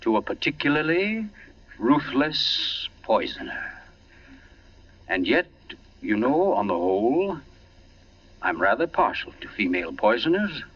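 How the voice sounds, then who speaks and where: A man's voice: medium pitch, sing-song, measured pace, pauses often, husky. An actor playing a suave, sinister author in 1940s radio suspense, urbane and theatrical, savoring his words. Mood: urbane relish. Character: suave, sinister male author with a taste for poisoners. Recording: muffled, noisy 1940s radio recording, distant.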